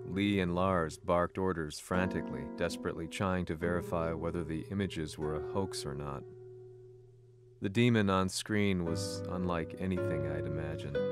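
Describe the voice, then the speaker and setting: Man playing a very low-voiced somber narrator. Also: lightly acted. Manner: somber, measured storytelling. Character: grave, haunted male reporter narrating his memories. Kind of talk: dramatic scripted video game narration. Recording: very loud guitar music behind.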